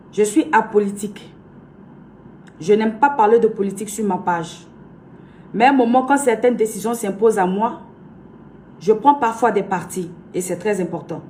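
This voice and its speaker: A woman's voice: medium pitch, dark tone, a little hiss or hum. A young woman from Côte d'Ivoire with an Ivorian French accent, a blogger and influencer speaking earnestly and emphatically to her followers, repeating herself for weight. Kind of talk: direct-to-camera address to followers.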